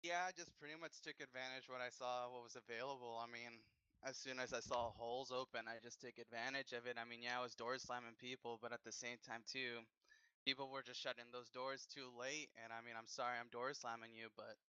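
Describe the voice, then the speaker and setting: Man, race driver, blunt, monotone, bright sharp voice, dry sound. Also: mid-range voice, brisk, clean tone, no room sound, lo-fi sound. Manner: blunt and defensive. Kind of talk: post-race interview.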